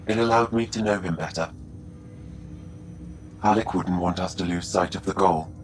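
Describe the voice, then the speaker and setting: An actor playing a calm, formal artificial intelligence companion in a video game, speaking in a measured, even manner. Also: male voice, deep voice, slight background noise, close to an everyday voice. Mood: calm, solemn.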